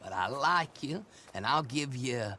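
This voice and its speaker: An actor playing a chatty, folksy, teasing rural horse dealer with an American Southern accent. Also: male voice, medium pitch, animated, a little slow, sharp tone, slight background noise. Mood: sly haggling. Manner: garrulous, folksy, sly haggling.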